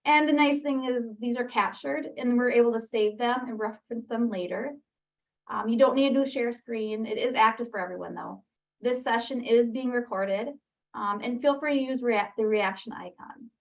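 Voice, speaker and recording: A woman opening a webinar, explaining the program and the call settings in a brisk way with ums and restarts. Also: a little high, gravelly, low-quality recording. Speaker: webinar host for a training program. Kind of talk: webinar introduction. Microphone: computer mic on a video call.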